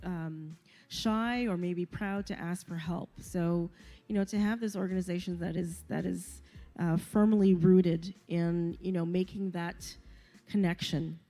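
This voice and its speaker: A woman hosting a talk show, affirming her guest warmly and repeating words as she speaks. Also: on the low side, steady tone, unhurried. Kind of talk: interview conversation.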